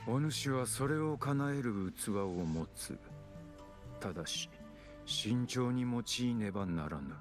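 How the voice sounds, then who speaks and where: Guy voicing stern dignified anime game official, formal old-fashioned talk, mid-pitched, faint music. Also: lively intonation, moderate pace, close to an everyday voice. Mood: solemn admonishing. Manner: formal, grave, admonishing. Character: stern, measured male official with archaic dignified speech. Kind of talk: anime game voice acting in Japanese.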